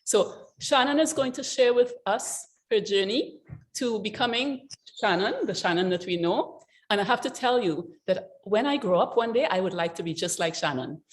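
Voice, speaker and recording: A woman hosting an online group event who gives a guest speaker a warm, effusive introduction over a video call. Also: medium pitch, expressive, normal speed. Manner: warm and effusive in her praise. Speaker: host and founder of the group.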